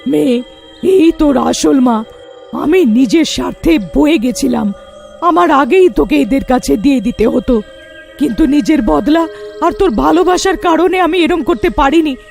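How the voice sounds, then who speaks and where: Woman as a creaky, fierce serpent witch. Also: high voice, expressive, normal speed, darkish tone, soft background music. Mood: sorrowful. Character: female serpent witch, fierce, bitter, protective mother. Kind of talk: cartoon horror story acting.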